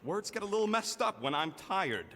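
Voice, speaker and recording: A small, irritable raccoon mechanic from a video game, high and sharp. He is cocky, snappy and sarcastic, and his voice rings in a long reverb. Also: sing-song, moderate pace, a little hiss or hum, full character voice. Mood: irritated. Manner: snappy, sarcastic, technical jargon.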